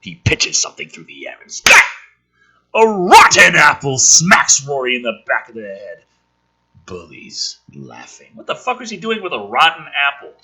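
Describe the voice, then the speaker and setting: Guy reading a movie script w sarcastic sweary comments, kinda high voice, super animated, bit raspy. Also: moderate pace, low-quality recording. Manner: animated, sardonic. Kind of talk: reading a film script aloud with casual, profane commentary.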